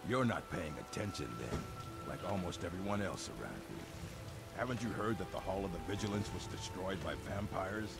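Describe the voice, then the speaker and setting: A stern video game vampire hunter with a low, gruff delivery. He recruits with grim scorn and blunt declarations. Loud music sits behind the voice. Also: animated, brisk. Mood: grim, scornful. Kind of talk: video game character dialogue.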